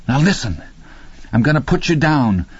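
A harsh young man acted by a male narrator giving urgent, curt orders through his fear. His pitch is pushed up, and the muffled audiobook recording has some room to it. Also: big pitch swings, moderate pace, slightly rough, no echo. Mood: urgent commands. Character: panicked young man, harsh, exhausted, commanding under terror. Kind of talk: dramatic audiobook character voice.

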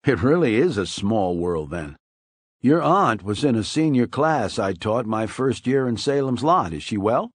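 Calm older teacher, polite and measured, dry close sound, read by a man. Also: medium pitch, no room sound. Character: older male schoolteacher, calm and courteous.

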